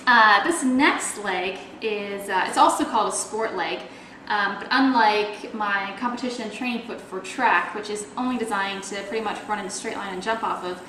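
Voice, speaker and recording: A woman's voice: medium pitch, moderate pace, pushed and bright, echoey room, a little hiss or hum, rough recording. A young woman with a Canadian accent, chatting casually in a video blog and explaining things with plenty of fillers. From Toronto, Canada. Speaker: athlete video blogger.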